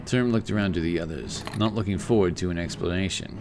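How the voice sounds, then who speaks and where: A man's voice: sits low, fast talker. A man reading scripted fantasy narration as a storyteller, with music behind his voice.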